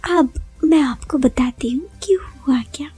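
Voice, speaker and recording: A woman's voice: high-pitched, unhurried, dark tone, soft background music. A young woman narrating a first-person story in a chatty, confiding way, often addressing listeners with rhetorical asides.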